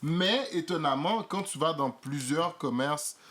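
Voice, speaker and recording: A man's voice: on the high side, measured pace, a bit bright, slight background noise. A man, making his point earnestly in a casual podcast discussion. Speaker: podcast guest.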